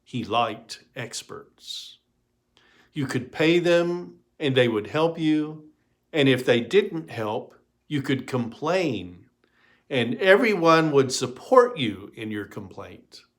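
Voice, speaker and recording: A man reading a story aloud in an expressive storybook narration style. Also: mid-range voice, slow.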